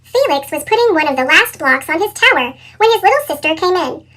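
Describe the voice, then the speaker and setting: A woman narrates a picture book for children in an animated read-aloud style. A chipmunk effect pushes the voice extremely high, and the intonation is very lively. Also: a little fast. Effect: sped-up chipmunk pitch shift. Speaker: storybook reader.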